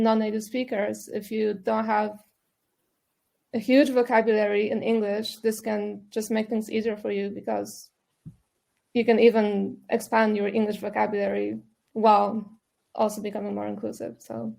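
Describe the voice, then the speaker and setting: A woman's voice: medium pitch, little pitch movement, normal speed, vocal fry, mellow. A woman whose first language is Polish, speaking as a panelist in a discussion, thoughtful and loose with fillers.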